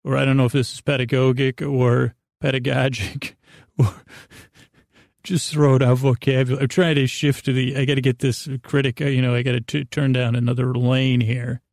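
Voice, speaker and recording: A man hosting a bedtime podcast in a creaky voice, meandering through a goofy, self-deprecating monologue with halting, trailing sentences. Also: mid-range voice, flat delivery, a little mellow, dry recording, studio quality. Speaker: podcast host.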